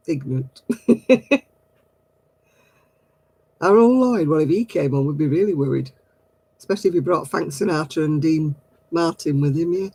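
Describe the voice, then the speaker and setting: A man's voice: on the high side, dark tone, breathy edge, no room sound, rough recording. A middle-aged man, hosting a casual craft livestream and chatting with viewers in a giggly, rambling way. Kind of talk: casual chat answering viewer comments.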